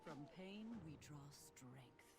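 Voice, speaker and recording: A woman voicing a regal, solemn dragon queen in a fantasy video game, speaking in a formal, measured, benevolent way. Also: low voice, animated, heavily acted. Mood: earnest. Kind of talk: scripted fantasy game dialogue. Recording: loud orchestral game music behind. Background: loud music.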